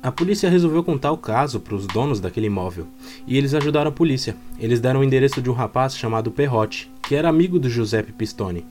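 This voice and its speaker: A man's voice: mid-range voice, animated, fast talker, darkish tone. A Brazilian man telling a true crime story in a plain, explanatory way with informal wording. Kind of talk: storytelling narration. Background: background music.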